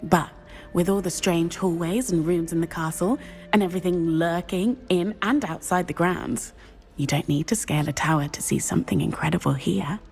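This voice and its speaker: A woman's voice: lowish voice, moderate pace, creaky, a little mellow, soft background music. A woman playing a bold, playful teenage witch in a video game, chatty and exclamatory with a British accent. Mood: chatty, amused. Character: bold, breezy, thrill-seeking teenage witch schoolgirl. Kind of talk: scripted video game dialogue.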